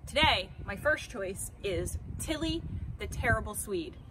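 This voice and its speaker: A woman's voice: medium pitch, animated, measured pace, pushed and bright, low-quality recording. A middle-aged woman presenting a children's picture book, recorded outdoors in a driveway a few steps from the camera. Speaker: children's read-aloud presenter. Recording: outdoor noise behind the voice.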